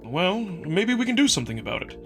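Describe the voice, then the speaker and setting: A man's voice: high-pitched, big pitch swings, a little fast, over music, voice pushed up. A man voicing a big young alien wolf, earnest and playful, in a visual novel reading. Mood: earnest, concerned.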